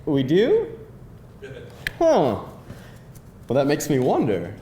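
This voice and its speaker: A man's voice: fairly high pitch, wildly swooping pitch, takes it slowly, warm tone, exaggerated voice. An actor playing a quirky, dryly teasing man on a date in a live screenplay table read. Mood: playful. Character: quirky atheist man on a date, secretly devoted churchgoer. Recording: echoey live room, faint noise.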